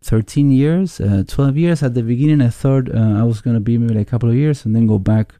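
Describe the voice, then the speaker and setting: A man with an Argentine Spanish accent, a musician guest in a casual interview, speaking in a thoughtful, halting way as he searches for words. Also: mid-range voice, warm tone, dry recording, clean studio sound.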